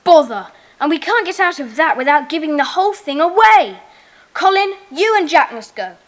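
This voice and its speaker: A woman's voice: high voice, very animated, moderate pace. A woman playing an eager, bossy boy who leads a children's secret club in an audio drama, with decisive, commanding delivery. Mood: worried, decisive. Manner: decisive, commanding, schoolchild diction. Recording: reverberant, hall-like echo.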